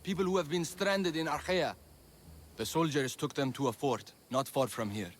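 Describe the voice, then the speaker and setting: A man's voice: a little high, normal speed, slight background noise, close to an everyday voice. An actor playing an earnest, idealistic, caring young man of the ancient world in a video game cutscene. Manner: sincere, idealistic.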